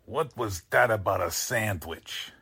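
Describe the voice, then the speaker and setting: A man's voice: on the low side, animated, a little slow, creaky, pushed and bright, breathy edge, heavily acted. A man playing a suave, sardonic, coldly threatening con artist who poses as a count, in a radio drama. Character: menacing male con artist posing as a Hungarian count.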